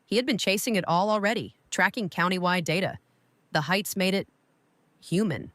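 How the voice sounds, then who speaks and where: An actress playing a gracious older woman, a grandmother and retired lawyer, talking in a measured, welcoming way. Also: medium pitch, a bit bright, dry recording, natural voice. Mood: calm. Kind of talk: audio drama acting.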